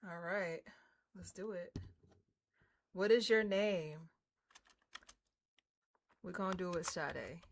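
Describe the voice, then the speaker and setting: A woman live-streaming a game, reading screen text and chatting to viewers in an excitable, slangy way. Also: lowish voice, slow, creaky, long pauses. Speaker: streamer, host. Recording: music behind the voice. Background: game music.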